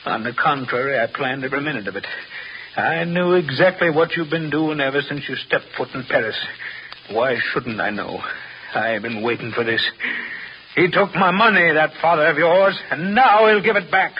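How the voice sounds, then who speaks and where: A male actor plays a vengeful older kidnapper in a vintage radio thriller. His fairly high voice is theatrical, sneering and mock-courteous. The sound is muffled, with faint broadcast hiss. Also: moderate pace, lightly acted. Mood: vengeful, gloating. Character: scheming, vengeful older male kidnapper, smooth then menacing. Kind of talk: 1930s American radio horror acting. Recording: muffled, hissy 1938 radio broadcast recording.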